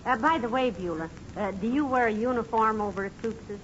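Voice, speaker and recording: A woman playing a sensible, patient wife in 1940s radio comedy, mild and gently dry. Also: fairly high pitch, fairly quick, natural voice. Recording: noisy, muffled 1940s radio recording.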